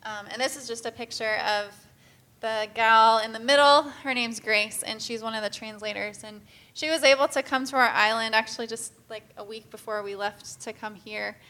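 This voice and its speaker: Missionary woman addressing a congregation, a bit high and very bright, chatty with many fillers. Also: moderate pace. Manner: casual, filler-heavy, earnest. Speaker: missionary speaker. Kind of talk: church presentation talk.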